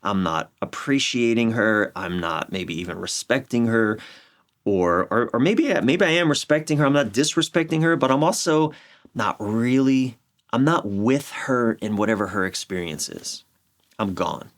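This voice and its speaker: A middle-aged American man explaining his views in a candid interview, halting and repeating phrases for emphasis. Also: medium pitch, animated. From United States. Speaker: relationship coach, podcast guest. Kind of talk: reflective conversational interview explanation.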